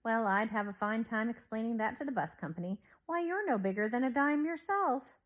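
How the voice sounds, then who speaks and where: Storybook bus conductor, a man played by a woman reader; animated, teasing, talks down with amused disbelief. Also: a little high, a little fast, natural voice. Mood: incredulous, teasing.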